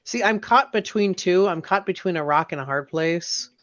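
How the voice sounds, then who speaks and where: A man's voice: high-pitched, normal speed, fairly bright tone, a little hiss or hum. A man co-hosting a casual podcast, bantering playfully, recorded on a dull lo-fi mic. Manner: playful, joking. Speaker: podcast co-host.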